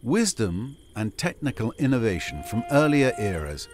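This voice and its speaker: A man delivering scripted cinematic narration for a game, with a wry, deliberate storyteller's manner. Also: medium pitch, big pitch swings. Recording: voice over prominent music score.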